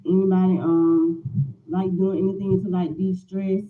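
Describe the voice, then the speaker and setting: A woman's voice: lowish voice, flat delivery, moderate pace, echoey room, phone-quality sound, background noise. A woman in her forties, a lifestyle vlogger chatting casually to viewers, rambling and repeating herself with many filler words. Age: 40s. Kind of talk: casual morning chat to viewers.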